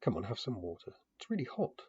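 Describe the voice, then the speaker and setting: A man playing a kindly, anxious man minding children in a fantasy story, hesitant and gently reassuring, in a muffled home recording. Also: on the low side, huge pitch swings, fast, a little hiss or hum. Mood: gentle, uneasy.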